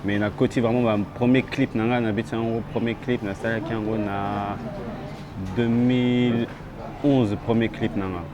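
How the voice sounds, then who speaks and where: A young man from France, a rapper being interviewed outdoors, talking in a halting way and switching between French and Lingala. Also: fairly low pitch, steady tone, dull audio, a crowd in the background. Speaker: rapper guest. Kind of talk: street interview. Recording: outdoor street noise.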